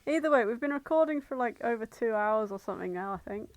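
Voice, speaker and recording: A woman's voice: fairly high pitch, lively intonation, quick pace, no echo, low-quality recording. Informal woman chatting on a podcast, dry sound. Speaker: podcast co-host. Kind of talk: casual podcast chat.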